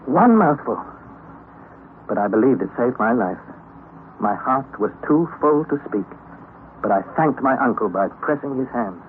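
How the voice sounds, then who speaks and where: A man's voice: medium pitch, animated, a little slow, long pauses, natural voice. An actor playing a young man who narrates his exhausting underground expedition in an old radio drama, earnest and dramatic. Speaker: narrator and nephew. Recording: tinny old radio recording, faint hiss.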